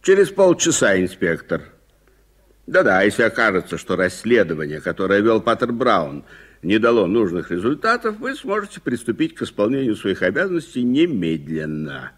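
An exasperated gentleman in a Soviet radio drama. His voice is lowish and husky, leaping wildly in pitch as he barks out commands with impatience. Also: huge pitch swings, normal speed, heavily acted. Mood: brisk, businesslike. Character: irritable, impatient gentleman suspect, uncle of a nephew. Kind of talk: Soviet radio play acting.

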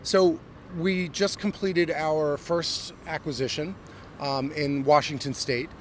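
Middle-aged American CEO, polished business pitch with filler words, outdoor street interview, traffic audible. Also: male voice, steady tone, takes it slowly. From United States. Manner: fluent corporate pitch with frequent um and uh. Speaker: company CEO. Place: busy downtown street outdoors. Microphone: handheld mic held by interviewer. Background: city traffic.

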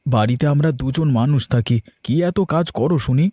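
A man's voice: medium pitch, brisk, warm tone, no echo, lightly acted. A man voicing a middle-aged, querulous but homely village uncle and husband in a cartoon story. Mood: grumbling. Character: grumbling, hospitable village uncle, bickering husband. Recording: background music bed.

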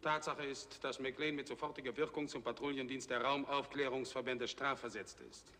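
A man's voice: mid-range voice, steady tone, moderate pace, pushed and bright, lightly acted. A middle-aged man playing a stern space general in a 1960s television drama, formal and authoritative. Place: large hard-walled office set. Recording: reverberant studio set, faint noise.

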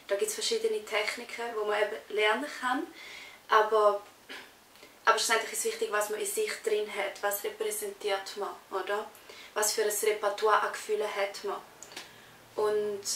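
A woman's voice: mid-range voice, fairly bright tone. Young Swiss German woman, roomy distant sound. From Switzerland. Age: young adult. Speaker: interviewee, actress. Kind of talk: casual interview answers. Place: small indoor room, on a sofa. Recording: roomy echo, distant mic, faint hiss.

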